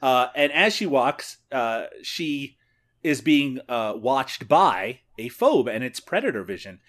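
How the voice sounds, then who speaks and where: Canadian male podcast co-host, animated delivery, laughs easily, casual recap. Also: medium pitch, takes it slowly. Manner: laughs often, recaps scenes. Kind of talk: casual movie review chat.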